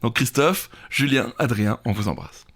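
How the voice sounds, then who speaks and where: A man's voice: mid-range voice, moderate pace, fairly bright tone. A man co-hosting a podcast quiz, chatting playfully and agreeably and reading out trivia. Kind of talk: casual quiz chat with read trivia.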